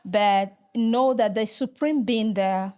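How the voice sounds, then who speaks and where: A woman's voice: mid-range voice, warm tone. Hesitant middle-aged Nigerian woman. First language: Igbo. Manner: hesitant, measured, many fillers and restarts. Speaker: guest, religious sister and lecturer. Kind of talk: interview answers.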